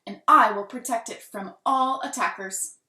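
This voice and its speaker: A woman voicing an imaginative, determined and indignant little girl in a storybook, with emphatic, drawn-out declarations. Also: fairly high pitch, sharp tone. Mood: defiant. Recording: roomy, echoing room sound.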